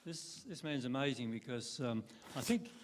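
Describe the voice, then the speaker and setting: A man's voice: medium pitch, moderate pace, slight background noise. Teasing older man pastor hosting. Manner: friendly, teasing, hesitant with ums. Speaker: local pastor and host. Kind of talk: church stage interview. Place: church stage before a congregation.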